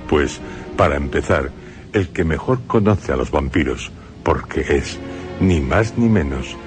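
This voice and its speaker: Male radio narrator, Spain Spanish accent, very low voice, dramatic measured delivery. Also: animated, over music.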